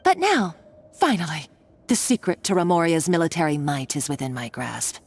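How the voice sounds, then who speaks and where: An actress playing a scheming villainess in a game, obsessed with treasure, grandiose and melodramatic in manner. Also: female voice, deep voice, expressive, moderate pace. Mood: gloating. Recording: music behind.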